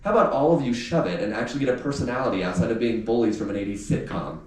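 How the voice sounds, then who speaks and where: A man voicing a defiant, sarcastic teenage girl in a novel read aloud, snarky and quick to insult. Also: mid-range voice, lively intonation, lightly acted. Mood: scornful. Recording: echoey room, distant mic, faint noise.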